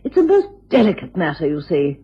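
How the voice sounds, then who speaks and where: An actress playing a gracious, anxious aristocratic widow in a radio drama, with a British accent. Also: female voice, low-pitched, wildly swooping pitch, a little fast, husky, full character voice. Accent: British English. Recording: muffled, hazy old radio drama recording.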